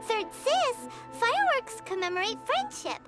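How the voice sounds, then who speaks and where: A woman voicing a tiny, excitable fairy companion in a game cutscene, chattering about herself in the third person. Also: extremely high pitch, unhurried, loud music behind the voice, heavily acted. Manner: bubbly and eager.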